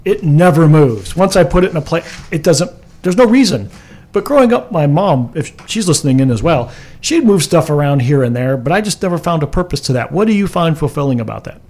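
A man in his fifties from the United States co-hosts a talk show with a slightly raised pitch. He rambles and teases in an easy, chatty way. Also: sing-song, fairly quick, darkish tone. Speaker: co-host. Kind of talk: casual radio talk show.

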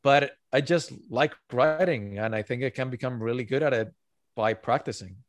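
A man's voice: mid-range voice, moderate pace, no echo. A man who is not a native English speaker, talking earnestly as an author guest on a podcast and repeating his key points. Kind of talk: podcast interview.

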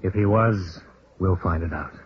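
Low-voiced hard-boiled American investigator. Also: male voice, unhurried, slight background noise. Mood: gentle, steady. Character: tough, world-weary male insurance investigator, sympathetic underneath. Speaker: lead actor and narrator. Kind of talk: 1950s radio detective drama acting, first-person narration. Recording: dull vintage radio recording with music.

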